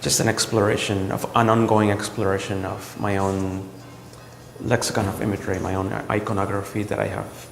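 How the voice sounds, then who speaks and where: A man from El Salvador, a visual artist, talking about his work in a gallery in a reflective, halting way with many ums and restarts. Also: fairly low pitch, level tone, creaky, soft background music. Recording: roomy gallery echo with long reverb.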